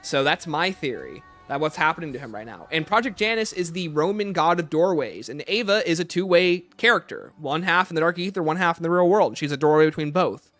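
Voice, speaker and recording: A man's voice: a little high, animated, fairly quick, few pauses, a bit bright, faint music behind. A man hosting a livestream, reading out chat questions and answering them informally while thinking aloud. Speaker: livestream host.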